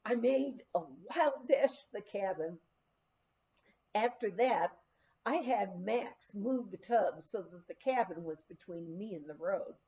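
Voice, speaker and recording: Woman, audiobook reader, expressive storytelling, mellow soft-edged tone, animated swooping intonation, slightly muffled lo-fi sound. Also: mid-range voice, slow. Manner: animated storytelling with acted dialogue. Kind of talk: expressive reading of a humorous memoir.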